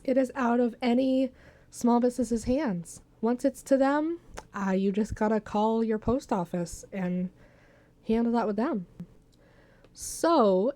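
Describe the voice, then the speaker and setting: Playful woman with a mellow voice. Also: medium pitch. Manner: playful, jokey, folksy made-up words. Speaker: soap maker hosting a craft tutorial. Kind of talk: casual chatty craft tutorial.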